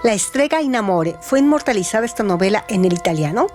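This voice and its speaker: A woman's voice: medium pitch, lively intonation, quick pace, darkish tone. A woman presenting a scripted literary radio segment, reading formally. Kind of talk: scripted narration, trivia about a novel. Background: background music.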